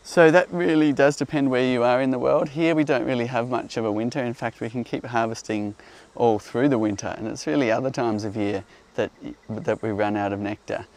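A man calmly explaining beekeeping outdoors among hives, speaking in a gentle, explanatory way while hosting a live Q&A. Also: medium pitch, fairly quick, hardly any pauses. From Australia. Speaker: beekeeper host. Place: outdoors on a hillside among beehives. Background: faint outdoor ambience and buzzing bees.

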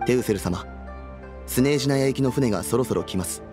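A man's voice: medium pitch, fast talker, close to an everyday voice. A man playing a formal, deferential subordinate agent in a Japanese video game, polite and businesslike. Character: formal, dutiful adult male subordinate agent. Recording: music behind the voice.

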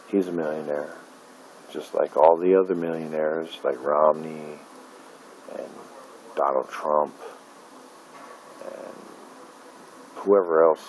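Middle-aged man, very low voice, bitter profane rant to his phone camera, faint hiss. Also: a little mellow, rough recording. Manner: bitter, profane, repeating himself with many fillers. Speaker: independent filmmaker. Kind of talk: angry personal vlog rant. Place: dim room at night, indoors. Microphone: phone camera held close.

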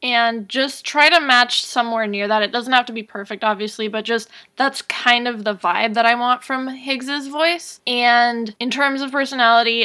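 A woman with a fairly high, very bright voice talks casually in a voice-over update. Her delivery is informal and conversational, like a creator filling in her followers. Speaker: animation creator giving an update.

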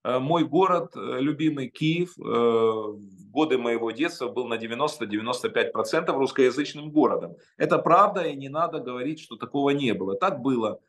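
A man in his fifties from Ukraine, answering interview questions over a video call from a home study, emphatic and halting with many hesitations. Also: medium pitch, expressive, a bit bright. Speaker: interview guest.

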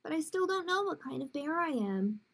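A woman voicing a gentle, unsure male teddy bear in a read-aloud storybook, earnest and fretful. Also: high voice, sing-song, normal speed, creaky, exaggerated voice. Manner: earnest, fretful, simple sentences.

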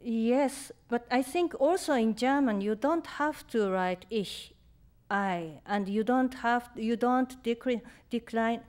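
Japanese-accented woman, halting and thoughtful. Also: on the high side. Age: 50s. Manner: halting, searching for words, with soft laughs. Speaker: author answering audience questions. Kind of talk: live Q&A at a reading. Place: lecture room on stage. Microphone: stand mic in front.

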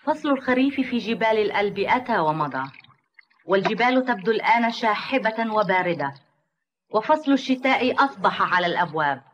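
Mid-pitched woman narrating a cartoon. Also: expressive, a little fast, a bit bright, highs cut off. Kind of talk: scripted children's cartoon narration.

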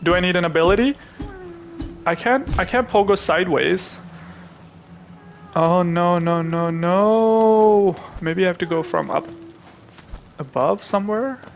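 A young man from Pakistan, hosting a gaming channel, who chats to viewers and thinks aloud as he plays, with excitable reactions. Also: sits high, measured pace, gravelly, long pauses, slight background noise. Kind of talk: live gameplay commentary.

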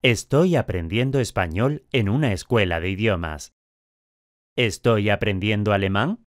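A man speaking clearly articulated drill questions and statements in a language course. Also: fairly low pitch, unhurried, no echo, studio quality.

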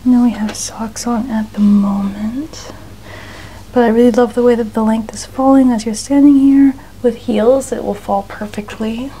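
Young woman doing asmr stylist thing, breathy gentle whisper, soft mellow tone, bit of hiss behind. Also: fairly high pitch, steady tone, poor audio. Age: young adult. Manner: gentle, unhurried narration of small actions. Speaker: ASMR host playing a dress stylist. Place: small indoor studio room. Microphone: small mic clipped at chest.

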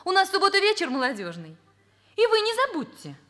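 A naive young woman, a secretary in a Soviet radio play. Her voice is high and lilts widely up and down. She is coy and chatty, wheedling as she talks. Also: huge pitch swings, fast talker, bright, forceful tone, exaggerated voice. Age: young adult. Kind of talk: Soviet radio drama acting.

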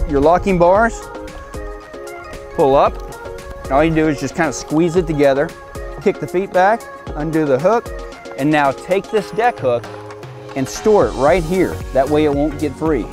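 A man in his forties talks through a hands-on product demonstration. His voice sits a little higher than average, and he gives plain instructions in short, casual phrases. Music plays clearly behind him. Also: a little slow, long pauses.

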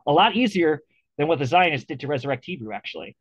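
Guy hosting a video call interview, animated, lots of restarts, muffled dry audio. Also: a little high, fast, husky, no echo. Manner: animated, with many restarts and fillers. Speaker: interview host. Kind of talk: casual video call conversation.

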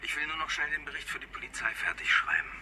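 An actor playing a courteous, attentive, protective doctor in a German radio thriller. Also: male voice, a little high, fast talker, natural voice. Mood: earnest, caring. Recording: loud background noise and ambience.

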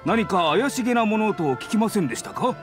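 A man's voice: high-pitched, animated, a little fast, over music. A man playing an earnest publishing-house employee in a Japanese game, polite and formal as he questions. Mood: earnest inquiry.

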